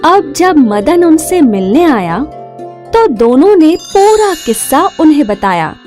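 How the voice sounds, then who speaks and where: Slow, animated woman narrating a children's story. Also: high-pitched, soft background music.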